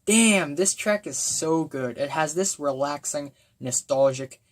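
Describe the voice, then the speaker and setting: A young man with a voice gone raspy from talking, giving a casual, excitable album review full of fillers and bursts of enthusiasm. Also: fairly high pitch, unhurried. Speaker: music reviewer.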